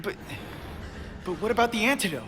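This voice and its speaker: A man's voice: sits high, lively intonation, fairly quick, big-room sound, crowd noise. An actor playing a wisecracking but earnest young male hero in a video game, with casual, quippy delivery. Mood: earnest concern. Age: young adult. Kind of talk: video game cutscene acting.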